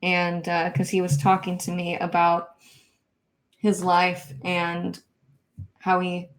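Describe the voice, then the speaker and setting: Soft-spoken woman chatting on a livestream. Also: fairly low pitch, completely flat delivery, slow pace, slight background noise. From Kansas. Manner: soft-spoken, hesitant, many ums and likes. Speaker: livestream host. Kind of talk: casual livestream chat, reading viewer comments.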